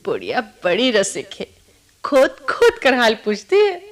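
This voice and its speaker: Playful, high-voiced young bride. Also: female voice, wildly swooping pitch, measured pace. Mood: fond, chatty. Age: young adult. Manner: teasing, giggly, coaxing. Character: playful, affectionate young newlywed bride on honeymoon. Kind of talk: Hindi radio play acting.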